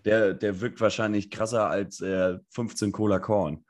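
A young man co-hosting a podcast in casual, joking chat, hoarse from a cold. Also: on the low side, little pitch movement, unhurried, a little mellow. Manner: joking, laid-back banter. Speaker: podcast co-host.

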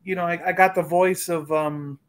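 A man's voice: a little high, steady tone, normal speed. A middle-aged man in casual chat, talking in a rambling, emphatic way and repeating himself. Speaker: collector and panelist on a fan livestream.

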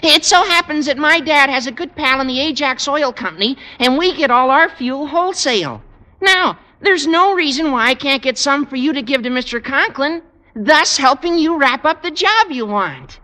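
An actor playing an eager, cheeky teenage schoolboy with a squeaky voice in a radio sitcom. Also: male voice, expressive, normal speed, bright, forceful tone, heavily acted. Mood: eager, helpful. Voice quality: squeaky, adolescent-sounding. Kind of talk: 1950s radio sitcom acting. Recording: old radio recording, long reverb.

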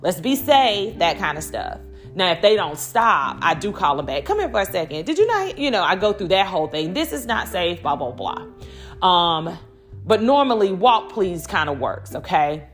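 A middle-aged woman hosting a solo podcast, talking conversationally and telling stories in a chatty, animated way with quoted asides. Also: on the low side, normal speed, faint music behind. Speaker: podcast host.

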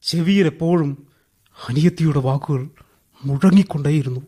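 An actor playing a wronged, embittered young ex-prisoner and elder brother in a radio drama, speaking in a halting, emotional way. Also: male voice, a little high, mellow, natural voice. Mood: bitter. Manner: emotional, halting, heavy-hearted. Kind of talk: radio drama acting, dramatic first-person narration.

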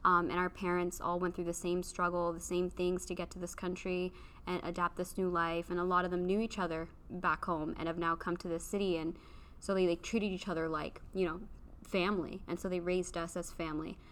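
A young woman from Canada speaks as a podcast guest in a slightly low voice. She talks openly and reflectively in casual conversation and laughs easily. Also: level tone, fairly quick, fairly bright tone.

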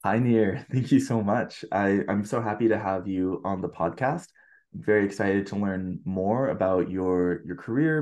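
Deep-voiced male podcast host. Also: a little mellow. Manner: casual, hedging with "like" and "you know". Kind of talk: podcast interview.

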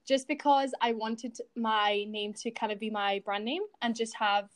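A woman's voice: on the high side, steady tone, a little slow. Young woman with a shifting Scottish-American accent. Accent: Scottish mixed with American. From Spain and Scotland. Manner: chatty, informal. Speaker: podcast guest, video creator. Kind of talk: casual chat.

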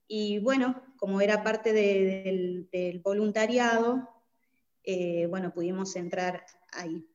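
A dull, lo-fi recording of a woman from Bolivia with a mid-pitched voice. She speaks as an interview guest in a conversational, explanatory way, often adding small tag questions. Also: darkish tone. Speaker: podcast guest, migration social worker.

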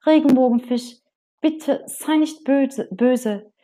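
Woman doing a high little blue fish. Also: slow, dark tone. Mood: pleading. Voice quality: high, pleading. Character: small shy eager blue fish.

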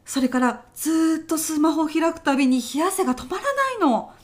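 Casting: actress as high-voiced female office senior, petty worrier, blunt and pushy, for comedic audio sketch. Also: brisk, darkish tone, lightly acted. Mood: agitated. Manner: blunt, pushy, rough-mouthed when challenged. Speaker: voice actor in a comedy radio drama. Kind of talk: short comedic radio drama.

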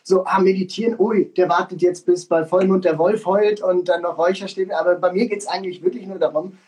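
A host chatting in a relaxed, informal way and encouraging the guest to talk. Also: medium pitch, brisk. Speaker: interview host. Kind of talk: casual interview chat.

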